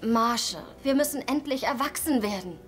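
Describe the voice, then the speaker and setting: A woman's voice: a little high, expressive, normal speed, lightly acted. An actress dubbing a cheerful young woman in a German TV dub, who talks in a playful, coaxing way.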